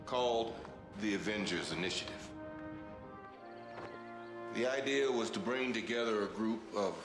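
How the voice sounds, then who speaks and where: A man plays a commanding agency chief in a game cutscene. He is solemn and slow, with a lowish voice, and music plays behind him. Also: natural voice. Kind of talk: dramatic film dialogue in a game cutscene. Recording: echoey room, music behind.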